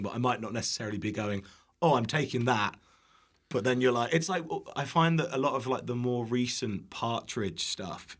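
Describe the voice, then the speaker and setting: Informal British man in casual chat. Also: lowish voice. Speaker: podcast guest, online cooking content creator.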